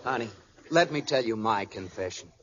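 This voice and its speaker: An actor playing a placating, earnest husband in a 1940s radio sitcom, on an old recording with an audience. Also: male voice, fairly low pitch, expressive, normal speed, lo-fi sound, noisy background, lightly acted. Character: placating husband who plays tricks on his wife.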